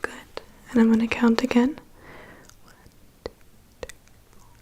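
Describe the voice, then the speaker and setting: A woman playing a calm, prying vampire's assistant in an ASMR roleplay, murmuring dry asides and reassurance in a breathy whisper. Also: fairly high pitch, measured pace, a little hiss or hum, lightly acted. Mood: attentive, clinical. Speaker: ASMR performer. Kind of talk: ASMR roleplay, personal attention.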